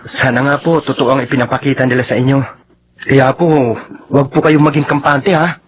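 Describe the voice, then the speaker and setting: A man's voice: medium pitch, level tone, moderate pace, highs cut off, a little hiss or hum, close to an everyday voice. An actor playing a caring, respectful son in a radio soap, polite and solicitous toward his father. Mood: concerned. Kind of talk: Filipino radio soap acting.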